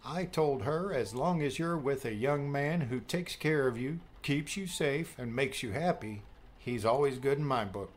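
A man's voice: mid-range voice, measured pace, close to an everyday voice. Protective dad played by a male actor, middle-aged, affectionate with dry wit, audiobook drama, slight background noise. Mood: caring, curious. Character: protective, wryly teasing, affectionate father of a grown daughter.

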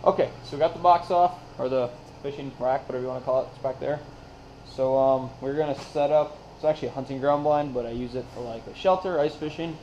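Young male vlogger, relaxed and rambling, recorded on a muffled action camera with clear background noise. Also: medium pitch, quick pace. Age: young adult. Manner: laid-back, rambling, lots of "so" and "yeah". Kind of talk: casual vlog narration. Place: garage, outdoors in snow, inside a truck.

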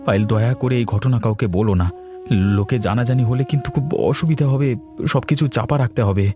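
A man's voice: medium pitch, quick pace, music in the background, close to an everyday voice. A man voicing a concerned, earnest village man in a horror cartoon. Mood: worried, reassuring.

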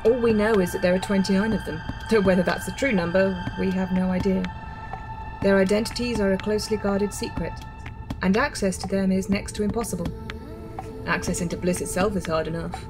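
A woman's voice: mid-range voice, fairly even intonation, fairly quick, natural voice. Radio drama rebel played by a woman: grim, earnest ex-insider, emphatic delivery, mid-range female voice over prominent music. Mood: bitter, intense. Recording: music bed under the voice.

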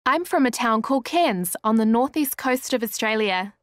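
A woman's voice: on the high side, animated, moderate pace, dry recording, studio quality, close to an everyday voice. A woman playing a friendly small-town resident in a scripted textbook passage.

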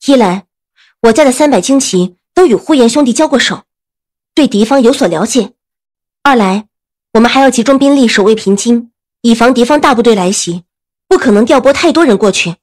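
A high-voiced, decisive young woman general. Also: animated, fairly quick, a little mellow. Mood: firm, assured. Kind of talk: period audio drama acting.

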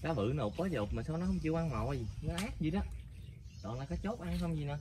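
A man with a Southern Vietnamese accent giving excited live commentary while fishing at a rural pond, repeating words as fish bite. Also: fairly high pitch, quick pace, slight background noise. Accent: Southern Vietnamese (Mekong Delta). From Mekong Delta, Vietnam. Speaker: fishing vlogger. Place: outdoors by a muddy pond in rural greenery. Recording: outdoor ambience with birds.

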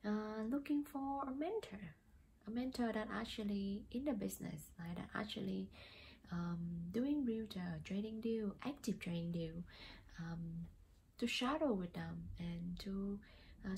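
A woman's voice: medium pitch, creaky, darkish tone, a little hiss or hum, poor audio. A young woman from Vietnam with a Vietnamese accent, talking casually to camera as a new real estate agent, hesitant with many fillers. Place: small plain indoor room.

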